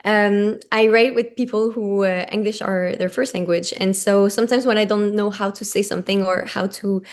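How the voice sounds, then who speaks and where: A woman's voice: medium pitch, steady tone, moderate pace. A woman whose first language is French, a singer answering interview questions in a hesitant way, with many ums and restarts. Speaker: singer-songwriter guest.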